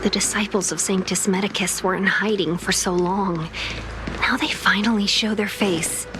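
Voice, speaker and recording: A woman's voice: mid-range voice, steady tone, normal speed, close to an everyday voice. A woman voicing a polite, cautious local guide in a video game, explaining things courteously, with music behind her. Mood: grave, wary.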